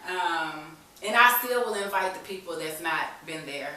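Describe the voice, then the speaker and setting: A woman's voice: on the low side, lively intonation, moderate pace, bright, forceful tone. An American woman telling her personal story candidly as a guest, in earnest, colloquial, emphatic talk where she repeats herself. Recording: echoey room, distant mic, faint hiss.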